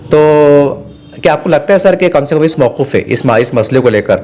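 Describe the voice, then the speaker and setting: A man's voice: a little high, expressive, moderate pace, darkish tone, faint music behind. A man asking long, insistent, discursive questions as a news interviewer.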